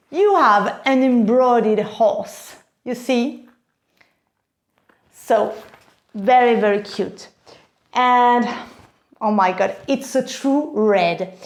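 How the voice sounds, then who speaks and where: A woman's voice: a little high, echoey room. A woman from France with a French accent, speaking English as a second language to her viewers in a chatty, enthusiastic unboxing vlog. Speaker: video host, handbag collector.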